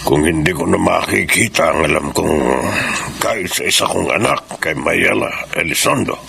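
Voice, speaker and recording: An actor playing a frail, wealthy old man in a Filipino radio drama, speaking in a halting, solemn way. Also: deep voice, creaky, pushed and bright, husky, exaggerated voice. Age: older. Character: frail, wealthy old man, grave estate owner and father.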